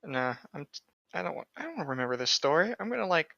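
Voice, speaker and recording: A man with a sharp, bright voice of medium pitch banters casually as a podcast co-host. He drops flippant, joking asides. The recording is dry and a little dull and lo-fi. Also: very animated, fast talker, creaky, no room sound.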